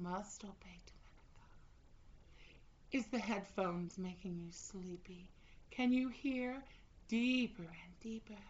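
A woman performing a scripted audio roleplay in a slow, teasing, commanding manner. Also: medium pitch, dull audio.

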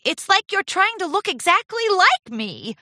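A woman's voice: very high voice, big pitch swings, measured pace, bright, forceful tone, dry recording, studio quality, pitched above the performer's own voice, exaggerated voice. A woman voicing an eager, gushing young female pony apprentice in a storybook, with an earnest girlish manner. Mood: upset, hurt. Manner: earnest, girlish, stammering when flustered.

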